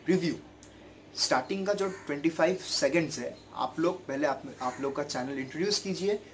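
A man's voice: on the high side, a little fast, slight background noise. A young man with a sore throat and a repetitive, earnest manner, giving tips to camera from his bedroom in a casual vlog. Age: young adult. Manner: earnest, repetitive, gestures a lot with his hands. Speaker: YouTuber giving channel-growth tips. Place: bedroom at home, indoors. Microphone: camera in front at desk distance.

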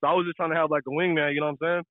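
Muffled, high-voiced American man calling in. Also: little pitch movement, very fast, dry recording. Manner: slangy, profane. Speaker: caller. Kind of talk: casual personal storytelling.

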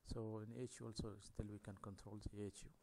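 Guy lecturing over slides, deep mellow voice, lots of uhs and restarts. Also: flat delivery, slight background noise. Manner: halting, many fillers and restarts.

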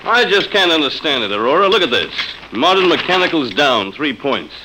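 A man's voice: fairly high pitch, sing-song, brisk. An actor playing a harried, exasperated salesman husband who frets and blusters, in 1950s radio drama style. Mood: fretful. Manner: fretful, blustering. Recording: noisy, crackly old radio recording.

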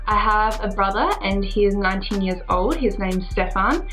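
A woman's voice: medium pitch, moderate pace, fairly bright tone, rough recording. A woman in her early twenties with an Australian accent, a native Serbo-Croatian speaker, chatting casually to camera as a vlogger. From Adelaide, Australia. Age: early 20s. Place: small furnished room at home, indoors.

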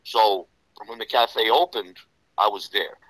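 A man's voice: on the low side, unhurried, fairly bright tone, no room sound, low-quality recording. A middle-aged man with a New York Italian-American accent, telling stories as an interview guest in a loose, rambling way, packed with "you know" fillers. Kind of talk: casual storytelling interview.

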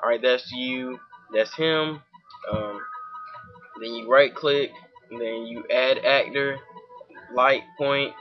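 A man's voice: medium pitch, fairly even intonation, slow, long pauses. Southern-accented young man, casual home tutorial host, dull lo-fi desk mic, faint music behind. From Georgia, US. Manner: informal, many fillers, talks while clicking. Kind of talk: casual screen-recorded software tutorial. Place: home room at a computer.

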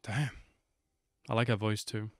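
A man's voice: on the low side, steady tone, unhurried, dry recording. A man in his twenties giving slangy, offhand reaction commentary into a close desk microphone. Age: 20s. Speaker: reaction video host.

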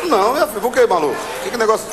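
A man's voice: sits high, very animated, racing, reverberant, low-quality recording. Middle-aged guy from são paulo playing dumb at a café counter, crowd noise around. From São Paulo, Brazil. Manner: playful, feigning innocence. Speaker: prank show actor.

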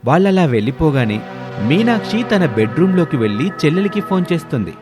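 A man's voice: on the high side, very animated, a little fast, music in the background. Man narrating a scripted story.

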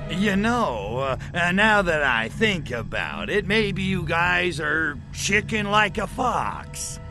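Brash alien invader for a game cutscene: American, sardonic, highish swinging pitch, drawn-out delivery. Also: male voice, sing-song, over music, full character voice. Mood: scheming, exasperated. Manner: sardonic, slangy American wise guy. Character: brash, wisecracking, cynical male alien invader. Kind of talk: video game cutscene acting.

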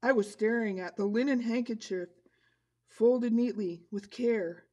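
A woman playing a bold male puppet disciple, telling a children's story in an earnest, expressive way. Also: on the high side, a little slow. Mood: eager. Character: bold, eager puppet disciple Simon Peter, a man.

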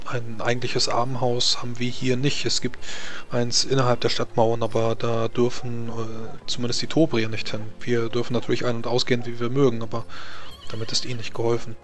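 A man improvising in character as a tabletop game master, explaining things in a halting way. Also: medium pitch, monotone, a bit bright.

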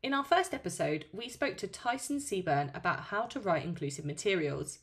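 A woman's voice: on the low side, expressive, moderate pace. A woman hosting a teaching podcast, interviewing a guest in a friendly, encouraging way. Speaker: podcast host.

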